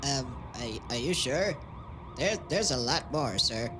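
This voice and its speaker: An actor playing a nervous, deferential deputy in a noir audio drama, hesitant, apologetic and stammering. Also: male voice, mid-range voice, animated, slow. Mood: hesitant, doubtful.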